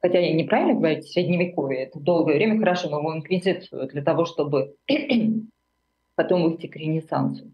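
A woman's voice: sits low, fairly quick, lo-fi sound. A middle-aged woman from Armenia, a writer speaking as an interview guest in a reflective, searching way, full of fillers and self-corrections.

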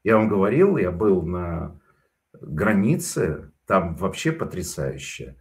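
A man's voice: sits low, very animated, slow, a little mellow. A man giving casual spoken commentary, telling stories in a digressive way with frequent hesitations.

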